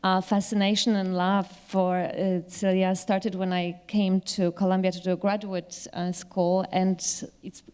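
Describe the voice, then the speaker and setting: A woman's voice: medium pitch, flat delivery, unhurried, few pauses, darkish tone, clean tone. Woman moderator in a reverberant hall. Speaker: discussion moderator. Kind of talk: live congratulatory remarks at an event.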